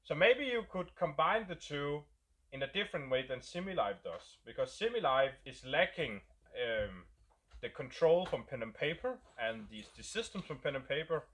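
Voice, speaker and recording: A man's voice: on the high side, big pitch swings, normal speed, a bit bright. A man with a Danish accent, a game designer casually explaining a new roleplay setup while thinking aloud and searching for words.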